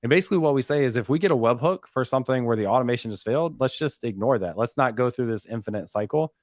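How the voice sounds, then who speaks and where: A man's voice: medium pitch, animated, fast talker, dry recording. Guy doing a laid-back coding demo, jokey about himself, dry close sound. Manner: self-deprecating, joking. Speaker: guest developer giving a demo. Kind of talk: casual technical walkthrough.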